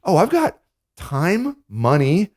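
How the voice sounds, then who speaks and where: A man in his thirties, co-hosting a podcast in an animated, jokey casual chat. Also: sits high. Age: 30s. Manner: animated, jokey, quick lists. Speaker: podcast co-host.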